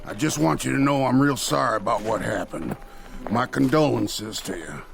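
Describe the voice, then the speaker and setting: Radio Western villain: taunting American peddler, folksy drawl, croaky and swooping, music underneath. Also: male voice, mid-range voice, lively intonation, moderate pace. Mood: scornful. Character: taunting, cruel Old West peddler. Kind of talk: radio drama acting.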